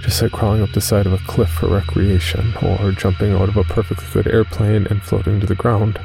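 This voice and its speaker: Guy acting a haunted caver telling his story, low creaky voice, solemn and deliberate, music underneath. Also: flat delivery. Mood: reflective. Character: Ted, driven haunted amateur caver writing a journal. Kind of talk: dramatic first-person horror narration.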